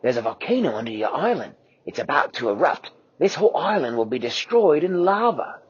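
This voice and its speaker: A man puts on a daring cartoon sailor who shouts his lines high and bright. The voice is husky and swoops all over the place. The recording sounds dull and lo-fi. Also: brisk, exaggerated voice. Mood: cheerful. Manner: high excited exclamations.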